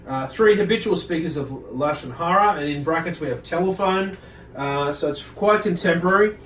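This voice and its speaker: A man giving an informal religious lesson, speaking in a halting, deliberate way and often correcting himself as he explains. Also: a little high, a bit bright, slight background noise. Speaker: religious teacher. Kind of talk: informal religious lecture, reading and explaining from a book. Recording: roomy echo, distant microphone.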